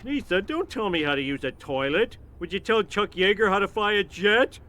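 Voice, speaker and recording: A man's voice: high voice, expressive, moderate pace, background noise. An actor playing a boorish, dim-witted cartoon father in a game cutscene, sounding a bit distant. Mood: grumbling.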